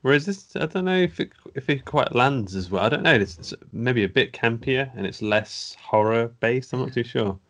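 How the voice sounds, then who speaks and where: A man's voice: mid-range voice, lively intonation, moderate pace, few pauses, a bit bright. A man co-hosting a podcast, reading out reviews with a wry, laughing delivery. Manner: wry, laughing at what he reads. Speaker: podcast co-host.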